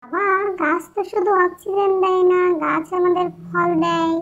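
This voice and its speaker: A woman's voice: extremely high pitch, steady tone, moderate pace, fairly bright tone, lo-fi sound. A woman voicing an earnest, know-it-all little village girl, the big sister in a children's puppet story. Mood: eager, confident.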